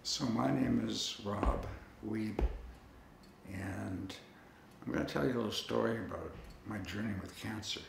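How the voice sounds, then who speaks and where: A man's voice: lowish voice, unhurried, gravelly, roomy sound, background noise. A man telling the story of his own illness in a plain, conversational way, with a few restarts. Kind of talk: personal storytelling to camera.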